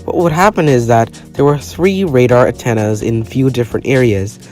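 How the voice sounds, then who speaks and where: A man's voice: medium pitch, a little mellow. A man reading a scripted educational narration in a formal, matter-of-fact manner, occasionally stumbling over a word. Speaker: narrator of an explainer video. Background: music.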